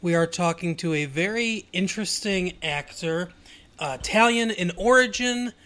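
A man's voice: sits high, moderate pace, bright, forceful tone. A man hosting an interview podcast, welcoming a guest and asking questions in an upbeat way. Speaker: podcast host. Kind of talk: casual interview.

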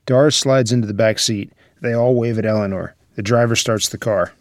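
A man's voice: lowish voice, fairly even intonation, a little fast, no echo, studio quality. A man narrating the stage directions of a screenplay at a table read.

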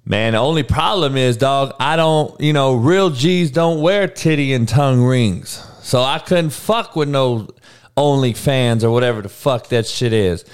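Man in his forties, podcast host, casual and profane, smooth clear voice, mid pitch. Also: a little slow. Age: 40s. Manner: profane, loose and rambling, talks to the chat. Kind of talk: casual livestream chat with viewers.

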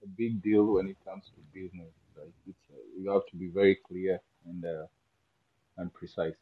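A man from Kenya with a Kenyan accent, talking as an interview guest, speaking haltingly with many restarts and easy laughter. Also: sits low, fairly even intonation, slightly rough, phone-quality sound. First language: not English. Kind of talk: interview conversation.

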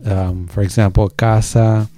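Low-voiced man co-hosting, mellow tone. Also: flat delivery, a little slow, soft background music. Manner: informal, slangy, enthusiastic. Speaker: music show co-host. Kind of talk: casual chat introducing songs.

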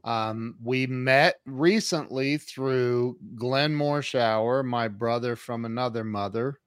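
A man's voice: mid-range voice, takes it slowly, smooth, dry recording, studio quality. A man hosting a talk show, interviewing a guest in a casual, informal and chummy way.

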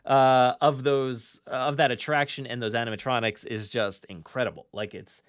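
A man's voice: mid-range voice, level tone, moderate pace, no room sound, studio quality. A man hosting a podcast in casual fan chat, enthusiastic but often restarting and repeating his phrases. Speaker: podcast host.

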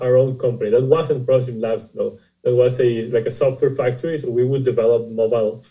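Costa Rican male guest, very dark mellow voice, halting and repetitive explainer. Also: mid-range voice, rough recording. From Costa Rica. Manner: halting, repeats words while explaining. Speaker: guest, startup CEO. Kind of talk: conversational interview.

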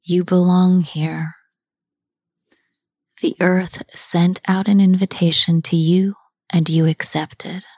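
A woman guiding a chakra body scan meditation, speaking softly and often half-whispering, with long pauses. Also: lowish voice, breathy edge, no echo, clean studio sound. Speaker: meditation guide. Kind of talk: guided meditation.

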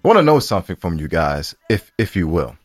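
A man's voice: low voice, big pitch swings, fairly quick, no echo, soft background music. A man co-hosting a podcast, chatting casually in an easygoing, bantering way. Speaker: podcast co-host.

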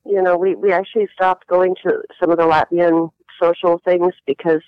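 A woman's voice: lowish voice, little pitch movement, normal speed, clear, resonant tone, dry recording. A woman guest in an interview, telling her own story in a reflective, hesitant way with frequent fillers. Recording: dull lo-fi sound.

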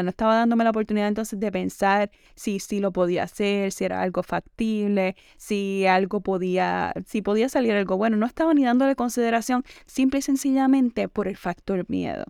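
A young woman hosts a self-help podcast, talking things over in a loose, conversational way and reaching for the same filler word again and again. Her voice is smooth and even, with a gravelly edge at the ends of phrases. The recording is dry and close, with no room sound. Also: mid-range voice, no room sound. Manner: conversational, leans on the filler "entonces" and slips in English words. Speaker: podcast host. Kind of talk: reflective self-help monologue.

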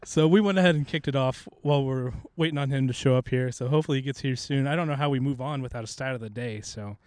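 A man's voice: medium pitch, fast talker, darkish tone, smooth, dry recording. A man co-hosting a casual sports podcast with dry, joking banter, recorded outdoors in a courtyard. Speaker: podcast co-host.

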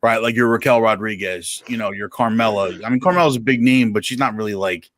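A man's voice: on the low side, a little fast, crowd noise. A man giving blunt, slangy opinions in casual sports podcast conversation. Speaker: wrestling podcast panelist.

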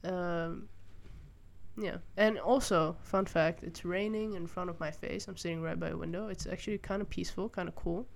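A woman's voice: on the low side, steady tone, normal speed, gravelly. A young woman from Malaysia hosting a solo podcast, talking through her views in a thoughtful, hesitant way with many fillers and restarts, sitting by a window. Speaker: podcast host. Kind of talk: casual solo monologue. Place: room by a window, rain outside.

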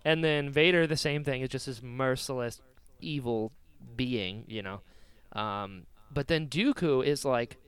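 Clear, dry-sounding enthusiastic man. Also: mid-range voice, measured pace, fairly bright tone, dry recording. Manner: emphatic, slangy enthusiasm. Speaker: podcast co-host. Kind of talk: casual fan discussion.

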